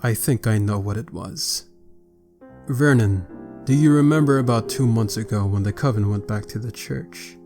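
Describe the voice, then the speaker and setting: A man's voice: on the low side, moderate pace, darkish tone, soft background music, lightly acted. Man playing a stern, formal vampire patriarch. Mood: grave, measured. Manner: formal, authoritative. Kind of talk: scripted roleplay voice acting.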